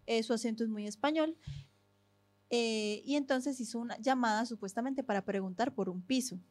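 A woman with a fairly high voice talks casually on a podcast, stopping and restarting often as she searches for words. The sound is dry and close, with no room echo. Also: normal speed, a little mellow. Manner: hesitant, many fillers and restarts. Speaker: podcast co-host. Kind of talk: casual conversation.

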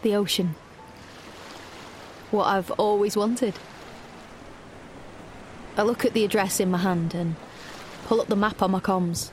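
A woman's voice: medium pitch, fairly even intonation, measured pace, long pauses, darkish tone, a little hiss or hum, natural voice. A woman playing a wary, searching young woman who narrates her own story in an audio drama. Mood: awed, hopeful.

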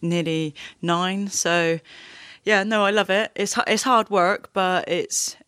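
A woman's voice: medium pitch, fairly even intonation, slow pace, fairly bright tone, no echo, clean studio sound. A woman, a rugby player who also works as a plumber, chatting in an interview with lots of "like" and laughter. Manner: chatty, laughs often, many likes and fillers. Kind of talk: casual interview chat.

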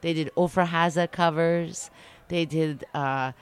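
A middle-aged woman from Canada chats as an interview guest, animated and prone to wandering off on tangents. Her voice is smooth and resonant, clean and well-defined. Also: low-pitched, a little slow. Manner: animated, chatty, digressive storyteller. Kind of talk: casual interview chat.

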